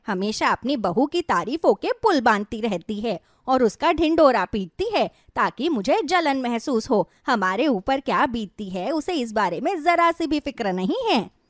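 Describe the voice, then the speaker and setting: A woman's voice: fairly high pitch, no echo, clean studio sound. A woman voicing an elderly wife and mother, jealous and complaining, in a querulous, dramatic way for a cartoon story. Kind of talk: cartoon moral story voice acting.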